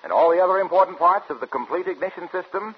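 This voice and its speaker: A man with a husky voice working as a commercial announcer, giving an emphatic scripted 1950s radio sales pitch. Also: fast, slight background noise. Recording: dull vintage radio recording.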